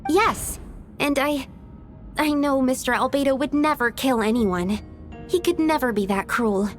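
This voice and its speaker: A woman's voice: sits high, a little slow, faint music behind. A woman playing a timid, earnest young student in a fantasy game. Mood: nervous, earnest. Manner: hesitant, earnest. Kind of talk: scripted fantasy game cutscene acting.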